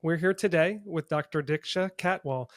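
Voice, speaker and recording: A man's voice: fairly high pitch, normal speed, no echo, clean studio sound. A man hosting a casual interview podcast in an upbeat, enthusiastic manner. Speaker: podcast host; orthodontist.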